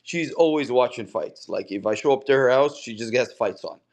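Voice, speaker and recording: A man's voice: medium pitch, normal speed. A man, a pro boxer chatting casually as a guest in an interview and telling stories in loose, slangy speech. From Canada. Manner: laid-back, slangy storyteller.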